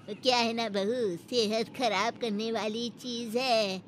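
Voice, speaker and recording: Woman acting slow-talking old village granny. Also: fairly high pitch, steady tone, background noise. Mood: fussing, caring. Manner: fussing, scolding affectionately. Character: caring, blunt old village grandmother-in-law. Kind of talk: Hindi animated moral story acting.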